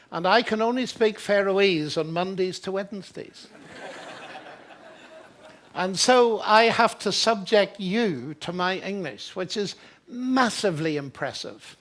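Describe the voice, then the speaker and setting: A man's voice: high voice, fairly bright tone. An older man from Northern Ireland with a Northern Irish accent, giving a public lecture with genial, self-deprecating humor. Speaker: guest lecturer. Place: hotel lecture hall with a large audience.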